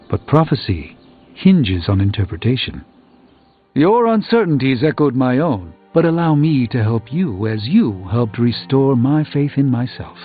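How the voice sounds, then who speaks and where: Casting: grave wizard mentor in a game, mid-pitched, formal and philosophical, heard through a narrow tinny band. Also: male voice. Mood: reassuring. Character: grave, learned, reassuring adult male sorcerer. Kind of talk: scripted video game character dialogue. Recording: tinny band-limited audio with music.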